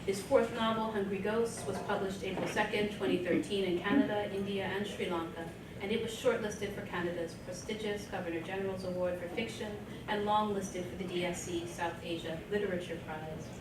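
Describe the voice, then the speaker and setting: A woman's voice: mid-range voice, fairly even intonation, brisk. A woman presenting at a conference, reading a scripted introduction aloud at a podium, recorded from across a hotel meeting room. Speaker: event presenter introducing an author. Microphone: podium microphone, recorded from across the room. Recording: echoey, distant, noisy room.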